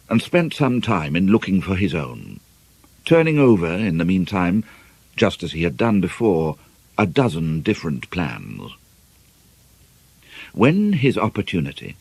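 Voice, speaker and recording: A man narrating a novel as an audiobook, reading in a measured, expressive way with deliberate emphasis. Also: sits low, husky, dry recording.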